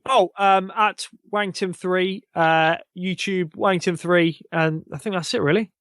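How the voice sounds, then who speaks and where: Male podcast guest, high-pitched, casual and apologetic, dry close sound. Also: steady tone, unhurried, a bit bright, dry recording. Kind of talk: casual chat.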